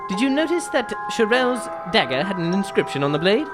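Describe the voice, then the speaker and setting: A woman playing a courteous, self-assured gentleman detective, composed, deliberate and formal, in an old-time radio drama. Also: medium pitch, sing-song, music in the background, close to an everyday voice. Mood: relaxed, explaining.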